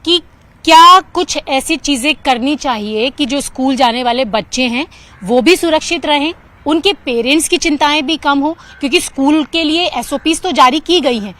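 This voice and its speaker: High-voiced woman TV reporter. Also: normal speed, a bit bright, no room sound. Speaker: TV news reporter. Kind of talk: field reporting and interviewing.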